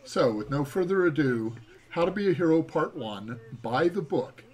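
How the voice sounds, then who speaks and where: A man's voice: on the high side, animated, normal speed, rough recording. An American man in his sixties co-hosting a casual home livestream, with dry, joking asides. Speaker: livestream co-host. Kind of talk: casual livestream chat and reading aloud. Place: home room. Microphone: webcam-style setup, no headset, at desk distance.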